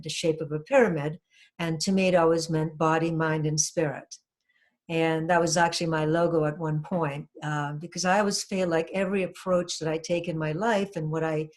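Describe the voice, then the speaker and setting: Reflective older woman from Trinidad. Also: deep voice. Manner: earnest, reflective, with frequent "you know" and "um". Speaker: guest, hypnotherapist. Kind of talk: casual interview talk.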